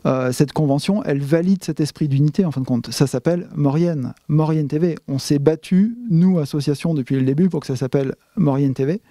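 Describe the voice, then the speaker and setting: Brief: male association member, raised mellow voice, emphatic halting delivery. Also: on the high side, no echo, clean studio sound. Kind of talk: interview statement.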